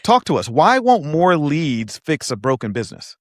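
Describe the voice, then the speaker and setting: A man's voice: on the high side, very animated, moderate pace, no room sound, clean studio sound. A man hosting a podcast interview, asking conversational, guiding questions. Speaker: podcast host.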